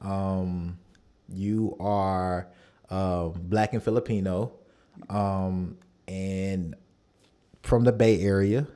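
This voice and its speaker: A man in his thirties hosting a podcast interview, relaxed and affirming, with plenty of fillers. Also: sits low, extremely slow, pauses often. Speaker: podcast host. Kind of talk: casual conversational interview.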